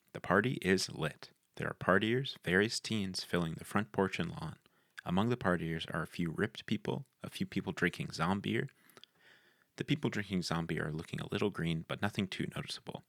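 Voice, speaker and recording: A man's voice: sits low, normal speed, creaky, fairly bright tone, no echo, clean studio sound. A man reading screenplay stage directions as scripted narration.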